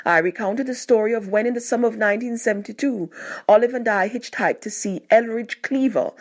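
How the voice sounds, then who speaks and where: A woman narrating a scripted personal memoir, reading it aloud with measured, deliberate phrasing. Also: mid-range voice. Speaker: narrator reading a written tribute.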